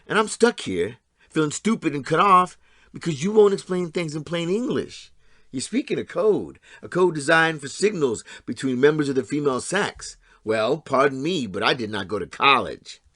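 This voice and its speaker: A man's voice: on the high side, lively intonation, moderate pace, a bit bright, no echo, studio quality. An actor playing a resentful, combative blue-collar man in an audio drama, plain-spoken, sardonic and argumentative. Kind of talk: stage-style audio drama acting.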